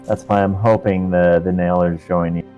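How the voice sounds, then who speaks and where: A man's voice: deep voice, level tone, normal speed, dark tone, lo-fi sound, faint music behind. A man chatting casually on a stream in an easygoing, rambling way. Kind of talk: casual chat.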